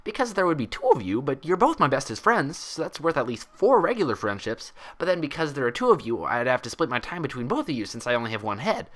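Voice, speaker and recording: A hyper, girlish cartoon pony mare, acted out by a man, pitched high and swooping around. She gushes with childlike, over-the-top cheer, as in a storybook reading. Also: big pitch swings, quick pace, few pauses, fairly bright tone. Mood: bubbly, certain. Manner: gushing, exaggeratedly cheerful.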